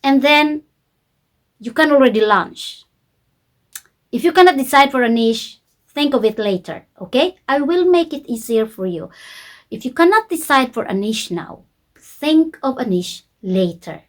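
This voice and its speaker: A Filipino-accented woman speaks in a slightly high voice as an online coach. She uses simple, deliberate English as a second language. Her informal delivery is emphatic, with key points repeated for the viewers. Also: lively intonation. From Philippines. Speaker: YouTube coach.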